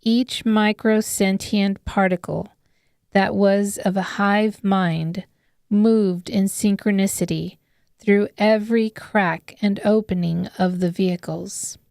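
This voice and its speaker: Slow, smooth-voiced woman narrating a dark story. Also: mid-range voice, steady tone, dry recording, clean studio sound. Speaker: audiobook narrator. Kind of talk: scripted dramatic story narration.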